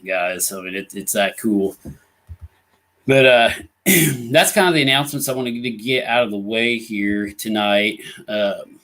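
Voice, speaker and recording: A man's voice: on the low side, fairly bright tone. A Midwestern American man hosting a casual live ghost hunting talk show, rambling with lots of "you know" fillers and just getting over an illness. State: recovering from illness, voice coming back.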